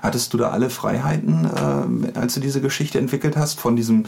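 A man's voice: mid-range voice, fairly quick, creaky, a little mellow, slight background noise. A man hosting a casual podcast interview, feeling his way through questions with hesitant phrasing.

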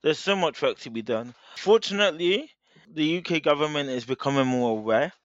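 Measured man explaining. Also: fairly high pitch, expressive, no echo, dull audio. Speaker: guest speaker. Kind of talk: interview, explaining at length.

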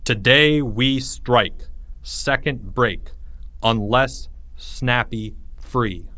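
A man voicing a menacing anonymous threat in an audiobook, slow, ominous and deliberate. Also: medium pitch, pushed and bright, no echo. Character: menacing anonymous threat note in clipped broken English. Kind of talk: audiobook character voice.

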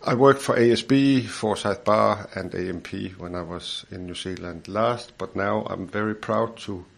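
A man's voice: on the low side, normal speed, gravelly. A man in his fifties from Denmark with a Danish accent, a financial adviser chatting in a studio podcast interview, repeating words and chuckling as he explains.

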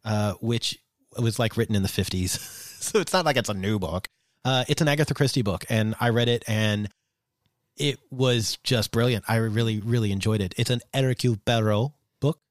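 A man co-hosting a podcast, chatting in a relaxed way, with frequent fillers and the odd swear. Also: on the low side, normal speed, no echo. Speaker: podcast co-host. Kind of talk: casual chat.

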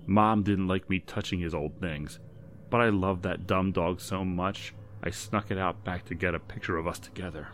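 A man voicing an angry, bewildered adult woman who swears and shouts in disbelief. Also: deep voice, fairly even intonation, moderate pace, a little hiss or hum, natural voice. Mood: defiant.

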